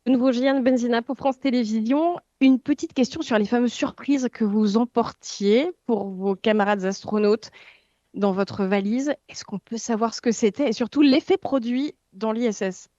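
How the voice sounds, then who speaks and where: A woman television journalist asks a press question in a fairly high, mellow voice. The recording is dull and band-limited, with very little room sound. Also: normal speed, no room sound.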